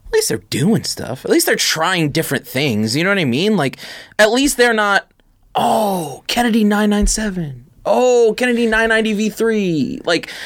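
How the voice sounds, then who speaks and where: A woman's voice: medium pitch, very animated, moderate pace. A woman co-hosting a podcast in casual chat, talking in an informal, slangy way. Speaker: podcast co-host.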